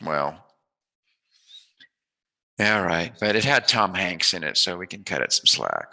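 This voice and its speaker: Creaky, bright-voiced man in casual chat. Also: low voice, very animated, measured pace. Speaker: interview host.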